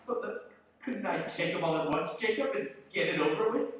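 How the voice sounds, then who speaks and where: A man's voice: fairly high pitch, animated, brisk, fairly bright tone, a little hiss or hum. A man playing an anxious, querulous elderly miser in a live radio play, stammering and pleading. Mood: flustered, pleading. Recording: echoey hall, distant mic, faint music.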